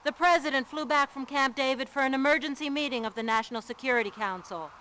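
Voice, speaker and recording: A woman's voice: high-pitched, fast, bright, forceful tone, slight background noise. A woman playing an earnest television field correspondent in a game, reporting formally and with urgency. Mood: urgent, serious.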